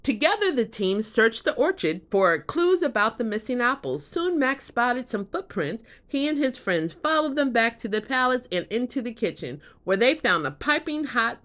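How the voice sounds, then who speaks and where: A woman narrates a children's bedtime story. Her voice sits fairly high and moves with lots of animated intonation, in an expressive storytelling style. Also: normal speed, few pauses.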